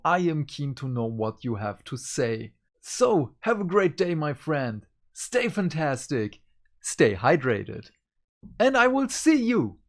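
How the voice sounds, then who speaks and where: A host giving a casual review to viewers, speaking in an emphatic, deliberate way. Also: mid-range voice. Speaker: book reviewer and channel host.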